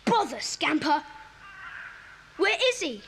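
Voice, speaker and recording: A woman playing a bossy, earnest schoolchild who leads a secret club in a children's audio drama, speaking briskly and commandingly. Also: high voice, big pitch swings, a bit bright, exaggerated voice. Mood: rueful. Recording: faint background noise, cassette audio drama.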